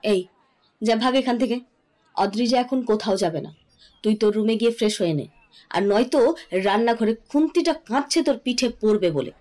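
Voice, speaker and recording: A woman's voice: a little high, animated, normal speed, pauses often, darkish tone, close to an everyday voice. A woman playing a bossy but caring middle-aged mother-in-law in an audio story. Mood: mock scolding.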